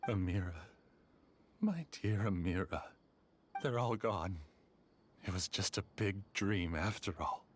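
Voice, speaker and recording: An actor playing an obsessive, grieving scholar villain in a video game, theatrical and emotional. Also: male voice, low voice, animated, takes it slowly, long pauses, a little hiss or hum. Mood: despairing, bitter. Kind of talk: dramatic video game voice acting. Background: game music.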